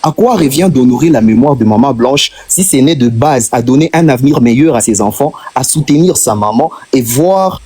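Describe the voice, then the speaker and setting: A man from eastern Congo with a Congolese French accent, speaking at a middling pitch with a husky, slightly breathy voice. He argues his case on a live stream, firing off rhetorical questions and hammering his points home by repeating them. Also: expressive, moderate pace, few pauses, mellow. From Democratic Republic of the Congo. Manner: rhetorical, insistent, repeats phrases for emphasis. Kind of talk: impassioned argument on a live stream.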